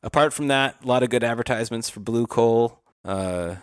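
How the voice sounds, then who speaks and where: A man's voice: medium pitch, moderate pace, clean tone, dry recording, studio quality. A man, a podcast host giving a casual, rambling recap.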